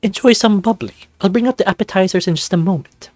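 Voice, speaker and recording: A man's voice: high voice, fast talker, darkish tone, dry recording, voice pushed up, close to an everyday voice. A man voicing a flamboyant, gracious snow leopard who hosts guests at a resort in a theatrical, courtly way, in a visual novel. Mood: showy welcoming. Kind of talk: visual novel character acting.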